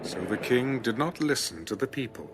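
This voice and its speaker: Guy narrating the bible, solemn but really animated, music behind him. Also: mid-range voice, moderate pace. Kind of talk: scripted dramatic Bible narration. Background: background music.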